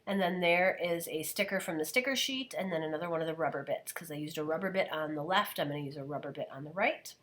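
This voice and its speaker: A woman with a slightly low, soft voice narrates her craft work in a relaxed, chatty way. She is coming down with a cold and her voice is worn. She talks the listener through each small step as if to friends. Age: adult, parent of a daughter. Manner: chatty, relaxed, describing steps as she goes. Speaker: scrapbooking video host. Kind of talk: casual craft voice-over narration.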